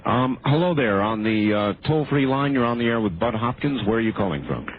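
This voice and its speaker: A man hosting a late-night call-in radio show, keeping things brisk and moving callers along against the clock. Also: medium pitch, lively intonation. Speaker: radio talk show host. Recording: muffled AM radio broadcast with faint hiss.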